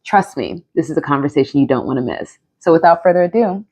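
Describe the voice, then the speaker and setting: A woman hosts a podcast intro in a fairly low-pitched voice. Her delivery is upbeat and personal, and she is quick to laugh at herself. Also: expressive, mellow. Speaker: podcast host.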